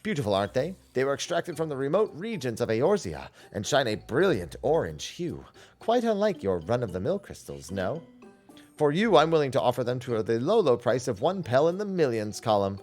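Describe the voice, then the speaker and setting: Guy doing a boastful game merchant, flowery sales talk, pitch moves around a lot. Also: very animated, brisk, a little mellow, faint music behind. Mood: boastful, coaxing. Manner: florid sales patter. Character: boastful, smooth-talking merchant, self-styled lucky. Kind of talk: game character dialogue read aloud.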